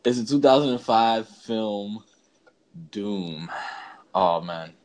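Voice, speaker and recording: A man's voice: fairly low pitch, lively intonation, takes it slowly, crowd noise. A man co-hosting a podcast in casual chat, talking in a slangy, emphatic, rambling way. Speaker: podcast co-host. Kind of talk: casual chat ranking bad video game movies.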